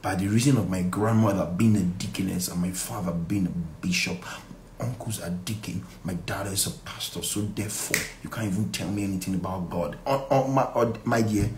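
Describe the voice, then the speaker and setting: A middle-aged man with a Nigerian accent teaches scripture in a fairly low voice. He speaks with deliberate emphasis, repeating key phrases and posing questions to his listeners. The phone recording has clear room echo and a little background noise. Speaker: pastor leading a Bible study. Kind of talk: live Bible study, reading verses aloud and explaining them. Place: small indoor room. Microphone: phone at arm's length.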